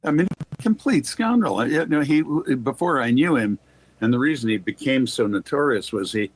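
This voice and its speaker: An older man from Quebec, an interview guest telling anecdotes in erudite, formal wording, discursive and halting with repeated starts. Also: medium pitch, lively intonation, breathy edge, dry recording. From Quebec, Canada. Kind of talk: anecdotal storytelling in conversation.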